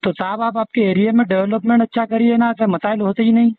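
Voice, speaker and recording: A man's voice: high-pitched, level tone, quick pace, no echo. A man with a Hyderabadi accent calling as a local resident, polite in address but insistent. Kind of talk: recorded phone conversation. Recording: muffled phone call, faint noise.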